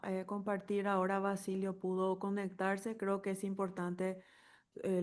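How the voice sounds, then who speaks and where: A woman moderating an online workshop on a video call, reading a participant's message aloud in a brisk, fluent way. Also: medium pitch, darkish tone.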